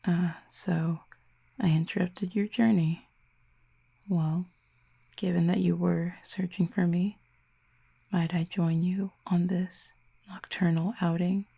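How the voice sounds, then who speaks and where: Woman acting a low, breathy, reserved cupid. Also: flat delivery, full character voice. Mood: dryly teasing. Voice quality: soft, breathy, hushed. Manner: measured, formal, softly teasing. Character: calm, reserved cupid, formal and quietly fond. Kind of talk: intimate audio roleplay to the listener.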